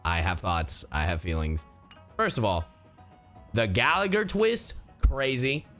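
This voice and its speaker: A man's voice: fairly low pitch, expressive, unhurried, fairly bright tone, soft background music. A man streaming casually to his chat, slangy and emphatic, repeating himself to drive points home. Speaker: streamer and voice actor. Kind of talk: casual livestream reaction chat.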